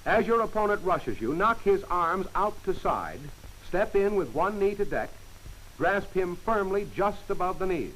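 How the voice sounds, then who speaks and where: A man giving scripted instructional narration for a training film, in crisp, clipped commands. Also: sits high, sing-song, measured pace, fairly bright tone, poor audio. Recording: hissy old film soundtrack.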